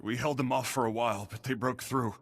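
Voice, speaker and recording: An actor playing a gruff, duty-bound prison station officer in a video game, giving brisk military briefings. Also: male voice, medium pitch, steady tone, close to an everyday voice. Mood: grim.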